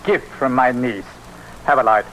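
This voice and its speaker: A man's voice: mid-range voice, moderate pace, a bit bright, close to an everyday voice. An actor playing a genial, casual senior policeman in an old radio drama, with a British accent. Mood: genial, breezy. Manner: affable, casual, chuckling. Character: genial, breezy male Scotland Yard superior. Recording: noisy vintage radio recording.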